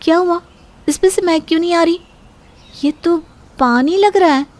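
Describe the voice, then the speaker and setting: A woman's voice: very high voice, normal speed, pitched above the performer's own voice. A woman voicing a sweet but smug and crafty young daughter-in-law in a Hindi cartoon. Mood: puzzled, annoyed.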